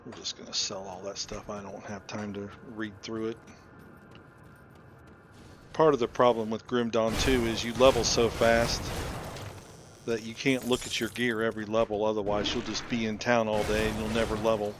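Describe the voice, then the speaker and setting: A man's voice: fairly low pitch, little pitch movement, moderate pace, background noise. A man giving casual gameplay commentary as host of a playthrough, talking informally and thinking aloud. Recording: loud music behind the voice. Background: game music.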